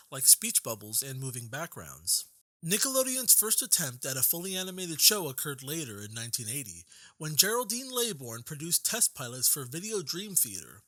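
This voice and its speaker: Casting: male video essay narrator, scripted delivery, very bright and forceful, lively pitch swings, dry close sound. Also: no room sound.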